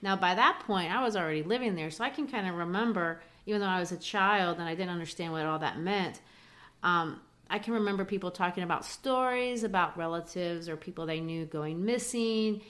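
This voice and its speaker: A woman's voice: on the low side, brisk, fairly bright tone. A woman in her forties who grew up in Brazil, co-hosting a casual book talk and explaining things thoughtfully with small fillers. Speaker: podcast co-host.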